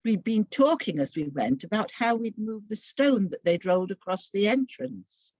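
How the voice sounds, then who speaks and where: A woman giving an expressive, solemn first-person dramatic narration of a Bible story from a script. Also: mid-range voice, moderate pace, no room sound, dull audio.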